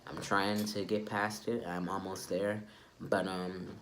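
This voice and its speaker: A young adult man with a congested, stuffy-nosed sound from a cold, giving a casual, low-key update to camera. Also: flat delivery, measured pace, a bit bright, a little hiss or hum. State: sick with a stuffy nose. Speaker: vlogger.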